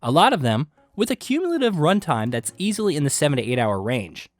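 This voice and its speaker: A man reading scripted video game list narration with an emphatic, punchy delivery and dramatic pauses. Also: fairly high pitch, huge pitch swings, dry recording. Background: background music.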